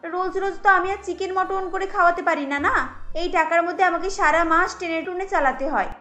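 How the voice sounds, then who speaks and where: A woman's voice: extremely high pitch, brisk, sharp tone. A woman voicing a harried, irritable housewife and mother in a cartoon, complaining and scolding. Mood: exasperated complaining. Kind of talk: cartoon voice acting.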